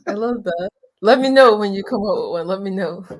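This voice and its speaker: A young woman hosts the interview with short, upbeat reactions and gentle prompts. She speaks over a home video call. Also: mid-range voice, fairly quick. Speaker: host. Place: home room.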